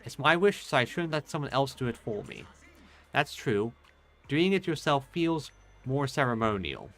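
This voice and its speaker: Male let's-play host: forward, bright tone, rambling casual delivery, faint game music underneath. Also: medium pitch, big pitch swings, moderate pace. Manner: rambling, hesitant thinking aloud. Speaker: game streamer. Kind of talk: casual let's play commentary, reading dialogue aloud.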